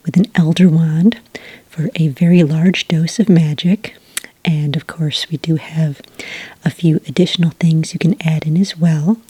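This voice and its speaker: A woman playing a friendly, helpful witch who serves at a magical cafe, speaking in a soft, breathy whisper in a patient ASMR roleplay style. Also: deep voice, dry recording. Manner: patient, gentle, explanatory. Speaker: cafe server taking orders.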